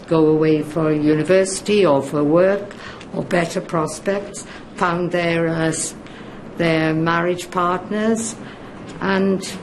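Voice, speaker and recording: A woman in her seventies giving an unscripted, measured and halting interview. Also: lowish voice, a little mellow, a little hiss or hum, low-quality recording. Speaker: interviewee, local community member.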